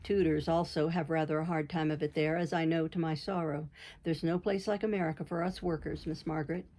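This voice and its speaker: A woman voicing an earnest, kindly young male tutor in a storybook, speaking in a sincere, measured way. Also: low-pitched, steady tone, warm tone, voice pushed down.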